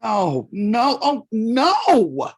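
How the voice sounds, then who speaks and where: A woman's voice: a little high, sing-song, very slow, slightly rough, dry recording, full character voice. A woman acting a proud, wounded middle-aged mother and community elder in a staged play reading, speaking earnestly and emphatically. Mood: upset, hurt.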